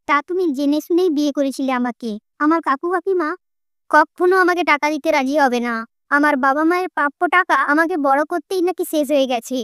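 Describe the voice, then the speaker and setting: A woman's voice: high-pitched, fairly bright tone, dry recording, clean studio sound. A woman voicing a poor, meek young daughter-in-law in a cartoon story, speaking in a plaintive way. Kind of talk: Bengali cartoon story voice acting.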